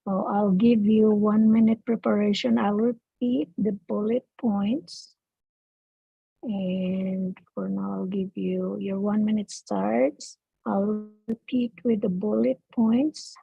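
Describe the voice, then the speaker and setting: A woman acting as examiner on a video call, reading out speaking test questions in a measured way and repeating the instructions. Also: mid-range voice, steady tone, gravelly, breathy edge, dry recording. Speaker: IELTS speaking practice examiner. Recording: muffled video call audio.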